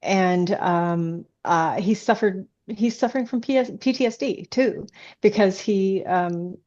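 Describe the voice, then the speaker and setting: A woman guest in a casual interview, heard through slightly dull, band-limited audio. Her pitch is mid-range, and she explains herself haltingly, feeling her way through phrases. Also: darkish tone. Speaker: guest author being interviewed.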